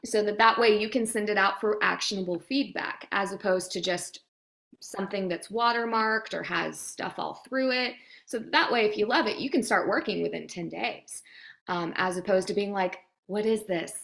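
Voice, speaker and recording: A woman's voice: medium pitch, moderate pace, creaky, a bit bright. A woman guest speaking as a voice demo producer, giving casual advice in an interview chat and acting out imagined reactions.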